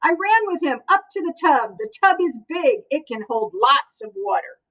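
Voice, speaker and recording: A woman reading a children's picture book aloud in an expressive, animated way, as if to young listeners. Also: high voice, normal speed, low-quality recording.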